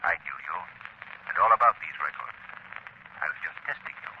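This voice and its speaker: An actor playing a sly, menacing, mocking invisible avenger in 1930s radio style. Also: male voice, sits low, animated, fairly quick. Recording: noisy muffled 1930s radio broadcast.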